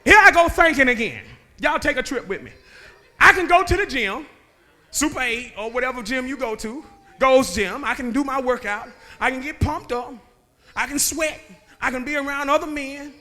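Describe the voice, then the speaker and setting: A man, preaching a sermon that moves between joking talk and shouted calls to the men. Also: very high voice, moderate pace. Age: 30s. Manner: conversational preaching that builds to shouting, with laughs and rhetorical questions. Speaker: guest preacher. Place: church sanctuary.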